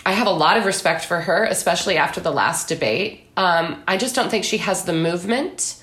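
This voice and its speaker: An echoey room surrounds a woman with a fairly low voice. She talks casually and with opinion, as a guest in a discussion, often voicing what others would say. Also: fairly quick, fairly bright tone. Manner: conversational, opinionated, quotes others in mock dialogue. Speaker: guest author and commentator.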